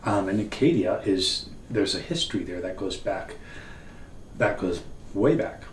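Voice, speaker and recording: A man's voice: low voice, a little slow. A middle-aged man from northern Ontario whose first language is French, co-hosting a casual chat and explaining things thoughtfully. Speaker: co-host. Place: wood-panelled cabin room, seated at table. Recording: roomy, distant, faint hiss.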